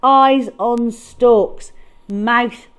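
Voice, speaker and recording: A woman reading a picture book aloud as a children's storyteller, with dramatic, deliberate delivery and emphatic stresses. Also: on the high side, fairly even intonation, clear, resonant tone.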